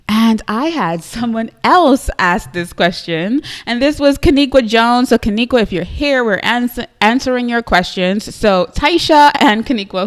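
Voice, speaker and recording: Upbeat chatty Trinidadian woman host. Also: medium pitch. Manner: upbeat and chatty, often adds "right?", laughs easily. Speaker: host of a live business show. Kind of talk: live-stream chat to viewers.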